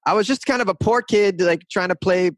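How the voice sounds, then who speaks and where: A man's voice: high voice, fairly quick, no room sound, studio quality. A man in his thirties from north of Boston, chatting as an interview guest in a rambling, self-deprecating way. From north of Boston, USA. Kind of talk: casual interview chat.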